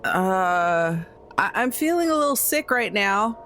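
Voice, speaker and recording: A woman's voice: medium pitch, very animated, measured pace, a bit bright, faint music behind. Woman improvising in a tabletop game. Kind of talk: casual in-character roleplay.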